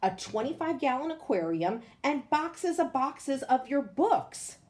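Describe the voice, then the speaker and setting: Woman reading as a sensible, high-pitched storybook mother. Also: very animated, a little slow, a little mellow. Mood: patient, insistent. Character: sensible, matter-of-fact mother explaining things to her child. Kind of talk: children's storybook character reading.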